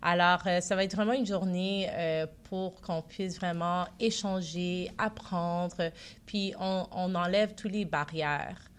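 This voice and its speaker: Woman speaking french as a second language, low voice, confident founder pitching her event. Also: steady tone, takes it slowly, smooth. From Montreal. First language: not French. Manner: confident, promotional, occasional hesitations. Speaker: founder and CEO, guest. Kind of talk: radio interview.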